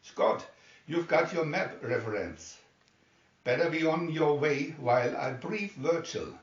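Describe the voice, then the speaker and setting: A man playing a commanding father who briskly gives instructions to his rescue team. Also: fairly high pitch, animated. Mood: businesslike. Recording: muffled, echoey room, distant mic.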